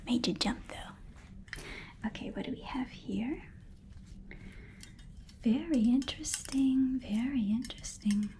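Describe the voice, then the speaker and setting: A woman whispering softly and breathily in an ASMR roleplay as a playful, fussy appraiser of magical items. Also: sits high, slow, long pauses. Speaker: ASMR performer.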